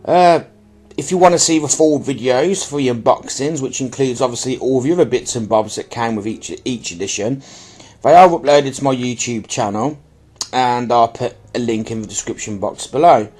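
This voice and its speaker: A man's voice: medium pitch, moderate pace, a little mellow. A man with a slightly bunged-up, stuffy-nosed voice, giving informal, rambling and apologetic commentary as he presents an item in his own video. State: stuffy with a blocked nose. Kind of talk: casual unboxing-style product commentary.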